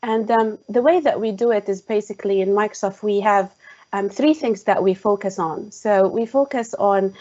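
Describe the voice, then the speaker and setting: A woman's voice: mid-range voice, normal speed, mellow. Muffled woman with a Jordanian Arabic accent. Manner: hesitant, many "um" fillers. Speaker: tech specialist guest. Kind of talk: online panel conversation. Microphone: video call. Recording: muffled lo-fi online call.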